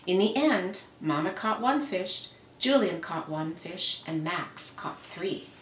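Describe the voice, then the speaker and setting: Low-pitched woman reading a storybook, roomy recording. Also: expressive, takes it slowly, fairly bright tone. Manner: careful, dramatic phrasing with character voices. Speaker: reader reading aloud. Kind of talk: expressive storybook read-aloud for children. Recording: roomy, noisy, distant microphone.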